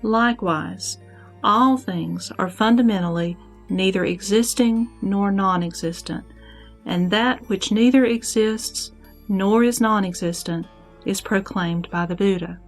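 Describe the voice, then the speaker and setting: Serene, wise goddess voiced by a woman, music behind. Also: medium pitch. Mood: calm, assured. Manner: measured, didactic, declamatory. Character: serene, wise heavenly goddess teaching with calm authority. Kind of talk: scripted sutra audio drama. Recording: background music under the voice.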